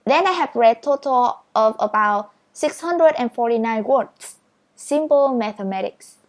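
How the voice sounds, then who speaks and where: Woman, Vietnamese first language, speaking English. Slow, patient, encouraging instructor with a higher voice. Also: animated. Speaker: speed reading instructor. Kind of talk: step-by-step tutorial.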